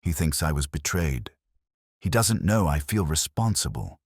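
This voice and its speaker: A man voicing a wronged female clerk who confesses on tape in a composed, brittle, deliberate way, in an audio mystery. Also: extremely low pitch, very animated, breathy edge, no room sound, studio quality, full character voice. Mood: brittle, resigned.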